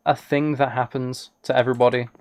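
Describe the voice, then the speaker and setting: A man with a mid-pitched voice and plenty of fry chats casually as he streams a game. He thinks aloud in hesitant, polite fragments, trailing from one small thought to the next. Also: flat delivery, fairly quick, a little mellow. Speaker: live streamer. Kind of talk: casual livestream chat while playing a video game.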